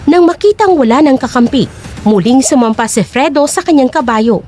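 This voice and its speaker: Female storyteller, high voice with lively swoops, dramatic scripted narration. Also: normal speed.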